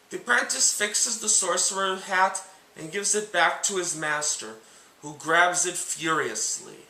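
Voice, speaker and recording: Guy with a high, super bright voice reading out a scripted review, careful presenter delivery, kinda echoey. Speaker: narrator and host. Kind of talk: scripted book and film comparison narration. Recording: echoey room sound.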